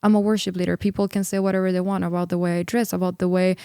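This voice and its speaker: A woman's voice: on the low side, little pitch movement, racing, warm tone, clear, resonant tone, no room sound, studio quality. A woman in her twenties, from Peru, a singer and worship leader talking in an earnest, upbeat way, with lots of "like"s, as an interview guest. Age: 20s. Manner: earnest, upbeat, filler-heavy with many "like"s. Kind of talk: casual interview chat.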